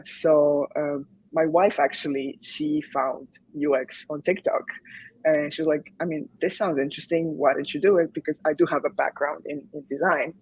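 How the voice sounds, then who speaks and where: A woman's voice: deep voice, darkish tone, husky, poor audio. A woman in her thirties from Croatia, chatting casually as an interview guest, hesitant with many fillers and restarts. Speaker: guest, UX design graduate.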